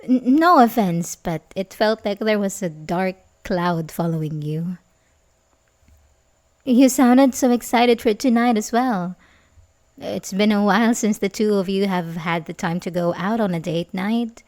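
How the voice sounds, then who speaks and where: A woman's voice: medium pitch, a little slow, darkish tone, close to an everyday voice. A young woman acting an ASMR roleplay as a sweet, coaxing, secretly obsessive babysitter, speaking hesitantly and intimately with little giggles. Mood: gently concerned.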